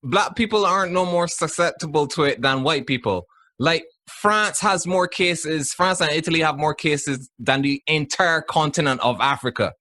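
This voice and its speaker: A man's voice: on the high side, moderate pace, sharp tone. A man from Barbados, co-hosting a casual, argumentative podcast in a blunt, slangy way. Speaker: podcast co-host.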